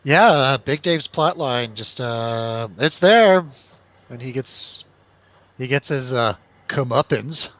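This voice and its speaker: A man's voice: mid-range voice, slow, fairly bright tone, no echo, muffled recording. A man hosting a casual film chat, joking around, hesitating and trailing off. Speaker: host of a film review show.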